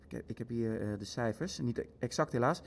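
An actor in an audio drama playing a pragmatic Nazi official who speaks in a polite, measured, coldly matter-of-fact way. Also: male voice, mid-range voice, creaky, slightly rough.

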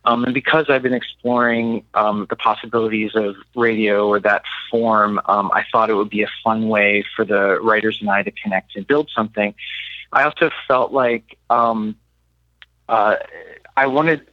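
A man from Miami speaking as an interview guest, thoughtful and halting as he searches for words in reflective talk. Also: lowish voice, fairly even intonation, no room sound, muffled recording. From Miami, United States. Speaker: interview guest, playwright and mentor. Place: radio studio.

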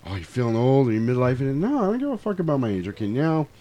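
Podcast host, male, forties. Informal, animated storyteller, medium pitch, swears easily. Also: rapid-fire. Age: 40s. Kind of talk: casual podcast chat.

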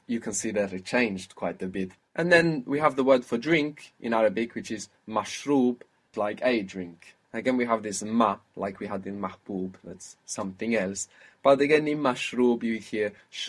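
Husky-voiced male language teacher. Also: lowish voice. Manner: patient, explanatory, spells out sounds slowly. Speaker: Arabic teacher. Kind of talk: one-on-one language lesson.

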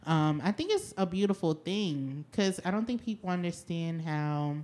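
A lower-voiced woman in her late twenties hosts a casual podcast. She tells stories with lots of slang and lively emphasis, often starting with "yo.". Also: normal speed. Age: 20s. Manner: animated storyteller, slangy, says "yo" a lot. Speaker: podcast host.

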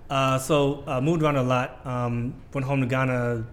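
An American man in his thirties, a podcast guest talking in a relaxed, thoughtful way with many fillers. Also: medium pitch, measured pace, faint music behind. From United States. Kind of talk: casual chat.

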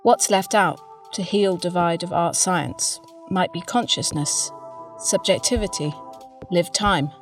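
A woman's voice: on the low side, unhurried. Slightly distant woman reading notes over music. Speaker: reader of an artist's working notes. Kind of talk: scripted reading of fragmentary notes.

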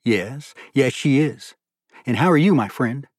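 A man voicing a gentle, fatherly older gentleman in an audiobook, measured and wry. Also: medium pitch, animated, slightly rough, no echo, clean studio sound, voice pushed up. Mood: amiable. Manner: measured, kindly, wry, formal wording.

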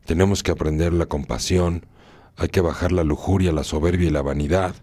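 A man's voice: deep voice, fairly even intonation, brisk, warm tone, breathy edge, dry recording. A man hosting a radio talk show, moralizing and repeating phrases for emphasis. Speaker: radio host.

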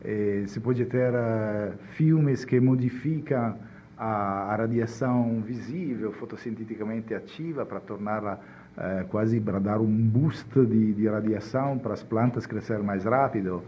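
A man from Italy speaking Portuguese with an Italian accent, a guest expert explaining in a halting way with many restarts. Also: lowish voice, dark tone, highs cut off, a little hiss or hum. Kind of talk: casual interview chat.

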